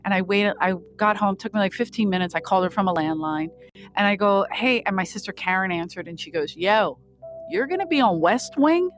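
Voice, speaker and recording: A woman's voice: medium pitch, lively intonation, brisk, faint music behind. A middle-aged American woman tells stories in a casual podcast chat. She swears freely and plays out both sides of conversations, with a mid-range female voice. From Philadelphia, United States. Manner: laughs often, swears freely, acts out quoted dialogue. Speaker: podcast guest, performer. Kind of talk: casual chat, animated storytelling.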